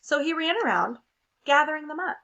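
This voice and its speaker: A woman reading a children's picture book aloud in an expressive way, with casual asides to young listeners. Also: high-pitched, fairly quick, creaky, highs cut off. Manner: expressive, child-directed storytelling. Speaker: read-aloud storyteller.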